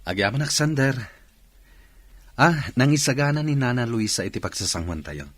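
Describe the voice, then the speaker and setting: A man playing a polite, modest village bachelor in a radio drama, with a Filipino accent and an unhurried way of talking. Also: medium pitch, expressive, a bit bright, no room sound. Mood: polite, amiable. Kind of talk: Ilocano radio drama acting.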